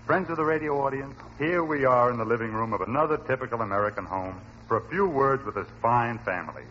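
A man's voice: medium pitch, lively intonation, fast, pushed and bright. A man playing a pushy, cheerful roving radio reporter, with slick announcer patter in 1940s comedy style. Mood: breezy, cheery. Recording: muffled vintage radio recording with hiss.

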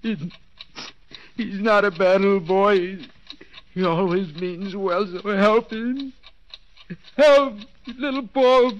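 An actor playing a desperate, trapped man in 1940s radio suspense style, strained, halting and pleading. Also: high voice. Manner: halting, pleading, drawn-out words. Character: desperate bound man counting down his last seconds. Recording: dull, noisy old radio recording, ticking clock.

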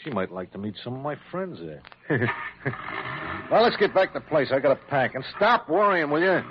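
Cocky tough gangster, slangy n sneering, lively pitch, muffled old radio. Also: male voice, normal speed. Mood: cocky, dismissive. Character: cocky, contemptuous male gangster on the run. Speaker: actor in a radio drama. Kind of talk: classic radio drama acting. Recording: muffled, noisy vintage radio recording.

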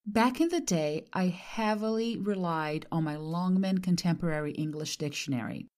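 A woman in her forties from Brazil, a native Portuguese speaker, narrating her podcast in a measured, reflective way. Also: on the low side, lively intonation. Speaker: podcast host.

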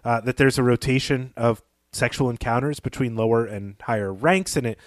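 Guy cohost doing lit analysis, measured n articulate, average pitch, really dry audio. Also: no echo. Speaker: podcast co-host. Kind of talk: analytical literary discussion.

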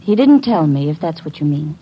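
A woman's voice: low-pitched, sing-song, brisk, slightly rough, lo-fi sound, exaggerated voice. An actress playing an earnest, protective young woman in love in a classic radio drama. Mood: guarded.

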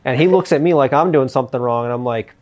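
An American man hosting a podcast, telling relaxed stories with quick restarts. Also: mid-range voice, dull audio, crowd noise. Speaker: podcast host, violinist. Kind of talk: casual chat.

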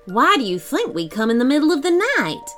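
Brisk, bossy tooth fairy in a storybook, high and bouncy, snappy and fussy, as a woman puts her on. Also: big pitch swings, fairly bright tone, faint music behind. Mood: exasperated.

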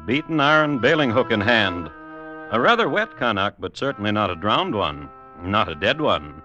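A man hosting and narrating a 1950s radio drama with wry, deliberate scripted delivery. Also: on the low side, big pitch swings, dull audio. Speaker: host and narrator. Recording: vintage radio broadcast with music.

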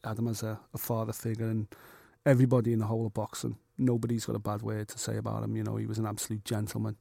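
A man's voice: lowish voice, completely flat delivery, very fast, vocal fry, warm tone, dry recording. A man co-hosting a boxing podcast in casual chat, blunt and jokey, with slang like "mate".

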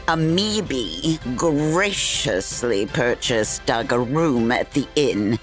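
Haughty, low-voiced old crone storyteller. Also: female voice, lively intonation, takes it slowly, soft background music, exaggerated voice. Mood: haughty narrating. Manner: pompous, archaic wordy phrasing. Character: cantankerous old crone narrator, haughty and vexed. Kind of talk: theatrical storybook narration.

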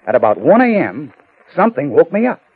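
An actor playing a breezy, slangy private detective with hesitant pauses in an old radio drama. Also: male voice, on the high side, big pitch swings, husky. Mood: bewildered. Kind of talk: 1950s radio drama acting. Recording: tinny vintage radio recording.

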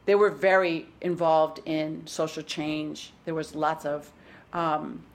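A woman's voice: low voice, level tone, slow pace. A woman in her fifties from Wisconsin with a Midwestern accent, a guest recalling her farm childhood in casual interview chat, reflective and full of restarts.

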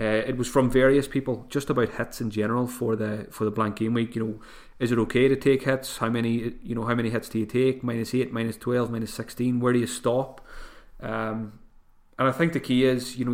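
A man with a voice growing hoarse talks through his picks on a solo podcast. He thinks out loud, often restarting phrases. His pitch sits in the ordinary middle range. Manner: thinking aloud, frequent restarts and fillers. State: voice getting hoarse. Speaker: podcast host. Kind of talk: solo podcast advice talk.

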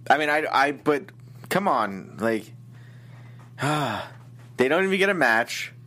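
A man with a mid-pitched, ordinary voice vents his frustration over wrestling storylines. He talks loosely, with plenty of "come on" and "who cares" asides. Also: big pitch swings, slow pace, bright, forceful tone. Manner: exasperated, ranting about booking. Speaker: wrestling recap co-host. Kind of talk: casual opinionated chat.

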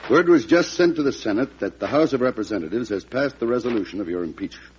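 An actor playing a solemn, courteous and loyal government lawyer in a classic radio drama. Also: male voice, medium pitch, lively intonation, fast, breathy edge. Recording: dull old radio broadcast, background noise.

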